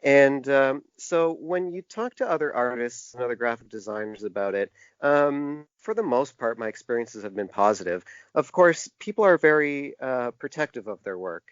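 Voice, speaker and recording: A man's voice: mid-range voice, animated, normal speed, few pauses, dry recording. A man answering interview questions at length in a conversational, hesitant way, as a podcast guest. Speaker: podcast guest, typographer and graphic designer. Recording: dull, lo-fi, dry podcast audio.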